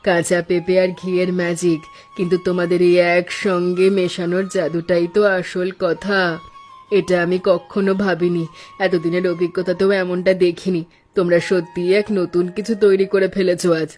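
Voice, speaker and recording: A woman's voice: lowish voice, flat delivery, a little mellow, faint music behind, natural voice. A woman playing a wise, sentimental elderly mother-in-law in a cartoon story, speaking in a reflective way. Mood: approving, solemn. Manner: slow, reflective, proverb-giving.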